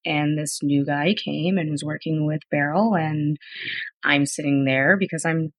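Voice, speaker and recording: Low-voiced chatty woman in her thirties. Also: fairly even intonation, unhurried, dry recording. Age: 30s. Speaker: podcast guest. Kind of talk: casual interview conversation.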